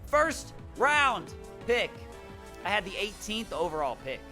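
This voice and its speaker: A man's voice: sits high, takes it slowly, soft background music. Hyped young man losing his voice. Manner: hyped, shout-out heavy, repeats words when excited. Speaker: YouTuber and draft league coach. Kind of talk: energetic casual vlog talk. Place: small room at home.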